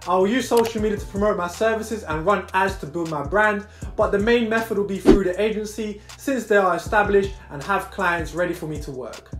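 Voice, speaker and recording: A young man from Brazil hosting a show, presenting a script to camera in an upbeat, punchy way from a small indoor room. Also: high-pitched. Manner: upbeat, punchy list delivery. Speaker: host. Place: small indoor room against plain wall. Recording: echoey room, light music behind.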